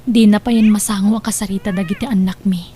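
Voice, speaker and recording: A woman's voice: medium pitch, steady tone, fast, dark tone, a little hiss or hum, lightly acted. A woman playing a worried wife and mother in an audio drama, speaking in an emotive, sighing way. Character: suspicious, worried wife and gentle mother of young children. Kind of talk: Ilocano audio drama acting.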